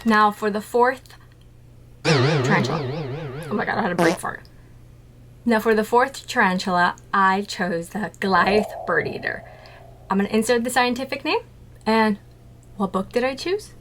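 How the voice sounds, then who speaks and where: A woman's voice: medium pitch, lively intonation, moderate pace, long pauses, background noise. A woman talking to camera about her tarantulas, chatty and playful, asking herself questions and then answering them. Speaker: tarantula keeper vlogger. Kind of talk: casual scripted talk to camera.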